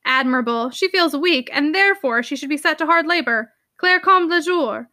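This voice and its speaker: A woman's voice: high-pitched, animated, normal speed, bright, forceful tone, no echo, clean studio sound. A woman voicing a blustering, impatient middle-aged uncle from a storybook, brusque, scoffing and exclamatory. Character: blustering, impatient fifty-five-year-old uncle, gruffly dismissive. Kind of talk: storybook character dialogue.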